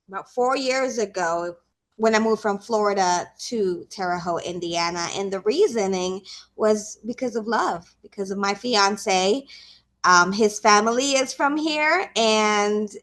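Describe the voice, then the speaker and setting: A woman's voice: medium pitch, fairly bright tone. A woman in her late twenties from the Caribbean, a podcast guest talking casually and chattily with plenty of fillers. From Caribbean, Saint Croix and Puerto Rico. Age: 20s. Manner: chatty, laughs easily, many fillers. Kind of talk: casual interview conversation.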